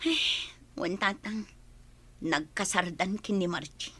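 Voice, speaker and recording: A woman's voice: medium pitch, takes it slowly, a bit bright, slightly rough. A woman playing a frank, resolute young single woman in a radio drama, speaking emphatically and conversationally. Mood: frank, resigned.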